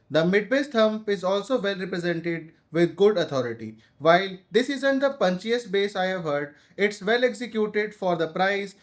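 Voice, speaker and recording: A man with an Indian accent narrating a scripted audio gear review. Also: high-pitched.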